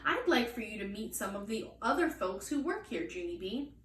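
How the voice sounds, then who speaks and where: A woman voicing a kindly, brisk school cafeteria lady in a storybook, politely coaxing a child. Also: mid-range voice, sing-song, a bit bright. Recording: roomy, distant mic, faint noise.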